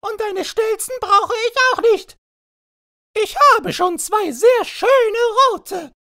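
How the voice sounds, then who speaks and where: A man's voice: very high voice, takes it slowly, gravelly, pushed and bright, no room sound, clean studio sound, voice pushed up, exaggerated voice. A man voicing a haughty, scornful stork in a storybook, brusque and dismissive. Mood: scornful, indignant.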